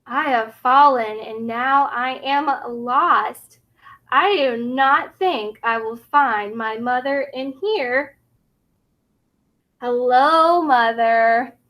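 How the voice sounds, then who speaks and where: A woman's voice: high-pitched, extremely slow, muffled recording. A woman voicing a tiny young T. rex from a children's storybook, childlike, earnest and determined, in short careful sentences. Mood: pleading. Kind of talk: children's storybook read-aloud.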